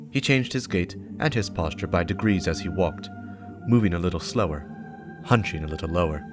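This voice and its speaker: A man reading scripted dramatic lore narration as a storyteller and channel host, with music behind him. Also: low voice.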